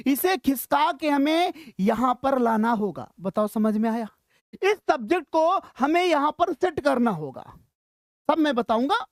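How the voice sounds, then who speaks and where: High-pitched Indian woman teaching. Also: dry recording, studio quality. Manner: chatty, encouraging, checks understanding often. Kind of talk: informal grammar lesson mixing Hindi and English.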